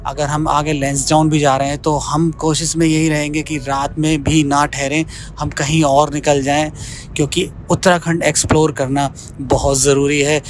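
A man's voice: on the high side, moderate pace, a little hiss or hum. Chatty man vlogging a hill drive. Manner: chatty, excited about the scenery, sings his own song. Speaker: travel vlogger. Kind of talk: casual travel vlog narration. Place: outdoors on a mountain road.

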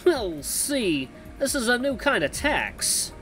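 A man's voice: very high voice, sing-song, slow, sharp tone, faint music behind, voice pushed up, full character voice. A man voicing a slimy barracuda pirate mobster in a game, swaggering and mock-polite as a menacing extortionist. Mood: smooth-talking. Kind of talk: hammy pirate gangster act.

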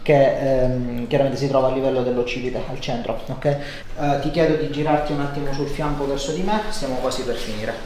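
A man in his thirties speaks as a practitioner guiding a patient, with medium pitch. He explains and reassures between brief instructions. The room rings slightly and some noise sits behind him. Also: fairly even intonation, brisk, a little mellow. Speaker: chiropractor. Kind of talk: talking a patient through hands-on treatment. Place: indoor home-style treatment room. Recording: roomy echo, noticeable background noise.

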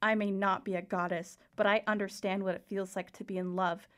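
An actress playing a defiant, passionate mortal heroine of ancient Greece in an audio drama, earnest and formal. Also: female voice, mid-range voice, flat delivery, fairly quick, clear, resonant tone, natural voice.

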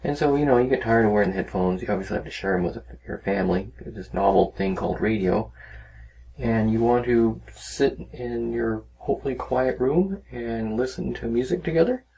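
A man giving a casual, rambling, unhurried demonstration of a hobby project, talking as a maker. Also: medium pitch, gravelly, dark tone, slight background noise, rough recording. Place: small cluttered indoor workshop.

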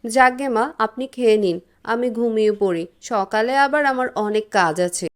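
A woman performs a curt young cartoon housewife who resents her in-law. Her voice sits on the high side, with a brisk, scolding edge. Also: lightly acted. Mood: slyly dismissive. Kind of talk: cartoon voice acting.

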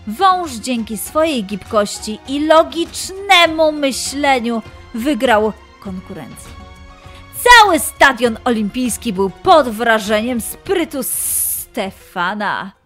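A woman narrating a scripted children's story in an expressive, deliberate manner. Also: on the high side, faint music behind.

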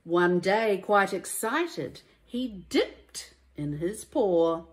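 A woman reads a children's storybook aloud like a primary teacher, in a deliberate, emphatic and expressive way. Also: medium pitch. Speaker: primary school teacher reading a story to young pupils.

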